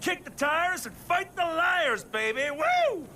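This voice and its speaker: An actor playing a folksy, excitable American militia conspiracy nut who rants in a game cutscene. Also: male voice, extremely high pitch, slow, a little hiss or hum, heavily acted. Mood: fired up. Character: manic ranting male conspiracy nut, gung-ho militia type. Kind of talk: video game cutscene acting.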